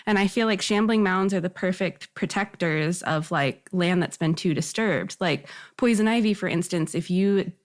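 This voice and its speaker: A woman's voice: fairly low pitch, moderate pace, few pauses. A woman on a podcast panel in casual conversation, speaking in an earnest, informal way.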